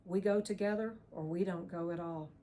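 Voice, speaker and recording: A woman's voice: fairly low pitch, steady tone, normal speed, warm tone, natural voice. A woman narrator voicing a frightened but stubborn young woman fleeing danger. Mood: determined. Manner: urgent, stubborn, whispering at times.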